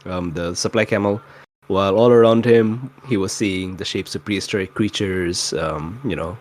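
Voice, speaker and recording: A man's voice: low voice, normal speed. A man casually recapping a tabletop role-playing session as a player, with dry, mock-exasperated asides.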